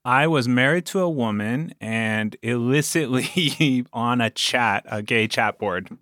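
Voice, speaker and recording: A man's voice: medium pitch, takes it slowly, a bit bright, no room sound, clean studio sound. A man chatting casually as a podcast guest, telling stories in a jokey way and chuckling as he talks. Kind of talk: casual storytelling chat.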